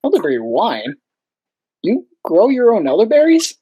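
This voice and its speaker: A man's voice: sits high, huge pitch swings, moderate pace, mellow, husky, full character voice. A man playing a mild, lonely asylum superintendent in a radio play, formal and hesitant. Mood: mild, wistful.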